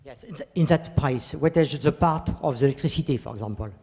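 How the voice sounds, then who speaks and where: A man in the audience asking a panel a question, halting and searching for his words. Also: mid-range voice, mellow. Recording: reverberant conference hall.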